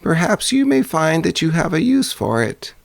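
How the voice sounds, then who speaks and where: A man's voice: a little high, very animated, moderate pace, creaky, dry recording, pitched above the performer's own voice. A man voicing an aged, helpful fairy-tale old woman who instructs in a singsong manner. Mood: helpful, instructing.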